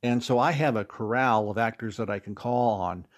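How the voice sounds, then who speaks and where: A man's voice: mid-range voice, moderate pace. A middle-aged man with an American accent, chatting as an interview guest and telling anecdotes with emphatic stresses. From Fort Wayne, Indiana, United States. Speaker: interview guest, audio drama creator and stage actor.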